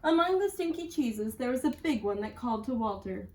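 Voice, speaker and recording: A woman's voice: fairly high pitch, lively intonation, fairly quick, slight background noise. A woman reading a children's picture book aloud as a storyteller, recorded at a distance from the microphone. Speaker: school storyteller.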